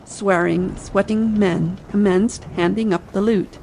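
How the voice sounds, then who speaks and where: A woman's voice: mid-range voice, steady tone, a little slow, creaky, a little mellow, poor audio. A woman narrating a dramatic audiobook reading meant for late-night listening, over sea ambience. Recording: faint ambient sea noise behind.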